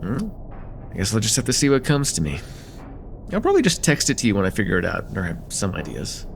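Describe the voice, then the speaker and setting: A man's voice: fairly low pitch, wildly swooping pitch, fast, gravelly, a little hiss or hum, heavily acted. A man playing a friendly, teasing college-age guy in a casual, hesitant slice-of-life ASMR roleplay with a close friend. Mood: thoughtful.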